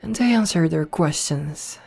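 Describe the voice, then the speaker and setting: A voice actress playing a dominant, flirtatious noble vampire princess in a soft, slow ASMR roleplay, recorded close to the mic. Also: female voice, deep voice, expressive, gravelly, husky, exaggerated voice. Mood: darkly confiding. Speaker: voice actor. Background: faint music.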